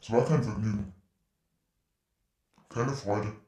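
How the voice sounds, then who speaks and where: An actor playing a cold, menacing murderer in a radio play, speaking deliberately and hatefully. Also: male voice, on the low side, warm tone. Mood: cold confessing. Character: menacing male killer confessing murders on tape. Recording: roomy echo under music.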